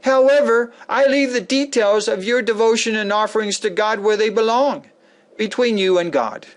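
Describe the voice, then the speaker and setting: Man acting a high-voiced humble believer. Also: moderate pace, lightly acted. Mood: kind, earnest. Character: humble, kindly believer gently offering help.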